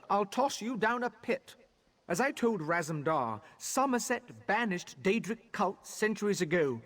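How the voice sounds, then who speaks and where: A man playing a haughty, suspicious high elf guard in a video game, speaking in a curt, condescending manner. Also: exaggerated voice. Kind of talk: video game character dialogue.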